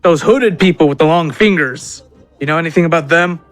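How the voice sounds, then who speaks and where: A man with a slightly high voice plays in a tabletop roleplaying game. He speaks casually and chattily as he improvises, with faint music behind him. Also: brisk. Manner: chatty, informal. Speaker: tabletop player.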